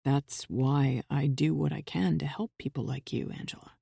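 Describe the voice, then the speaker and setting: A steady, guarded man who talks in short, measured lines, as a female narrator puts him on. The voice is lowered and mellow. It is recorded close and dry, as in an audiobook. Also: vocal fry, husky, dry recording, full character voice. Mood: earnest. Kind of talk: audiobook character reading.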